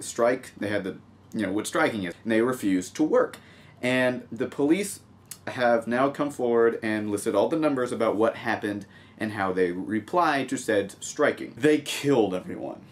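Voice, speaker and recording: Young man doing joking news commentary. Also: medium pitch. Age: young adult. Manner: joking, sarcastic asides. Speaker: vlog host reading and commenting on news. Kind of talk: casual humorous news commentary. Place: small home room with desk, indoors.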